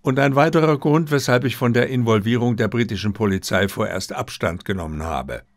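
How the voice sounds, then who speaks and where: A man voicing a formal, discreet Japanese diplomat in an audiobook, with courteous, measured wording. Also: medium pitch, dry recording, lightly acted. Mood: formal, explaining. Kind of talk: audiobook character dialogue.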